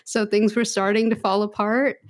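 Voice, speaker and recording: A woman's voice: mid-range voice, little pitch movement, normal speed. A woman co-hosting a podcast in casual chat, rambling and laughing often. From United States. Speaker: podcast co-host.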